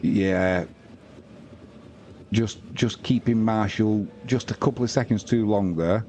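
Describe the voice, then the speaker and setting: A man with a lowish, mellow voice commentates live on rugby league for a stream. He calls the play as it happens and chats to viewers in an informal, matey way. There is some noise behind his voice. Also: takes it slowly. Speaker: sports livestream commentator and host.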